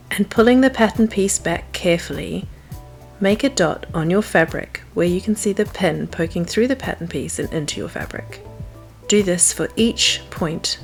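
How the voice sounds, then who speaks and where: A woman giving scripted, step-by-step instructions as a sewing tutor. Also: lowish voice. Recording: faint music under the voice. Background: faint music.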